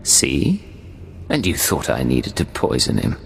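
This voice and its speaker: Suave, low-voiced secret agent. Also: male voice, huge pitch swings, measured pace, gravelly, a little hiss or hum, full character voice. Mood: dry, confident. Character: suave, confident male imperial secret agent. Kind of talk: video game voice acting. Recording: game music behind the voice.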